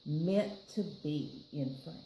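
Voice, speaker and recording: A woman with a Southern US accent reading a picture book aloud to children, with playful, expressive, chatty asides. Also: sits low, takes it slowly, reverberant, rough recording. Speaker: children's storytime reader.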